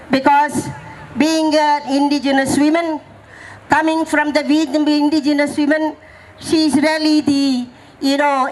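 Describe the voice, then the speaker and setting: A woman, hoarse from speaking, gives an impassioned protest speech and fires rhetorical questions at the crowd. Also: sits high, low-quality recording. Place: outdoor protest gathering.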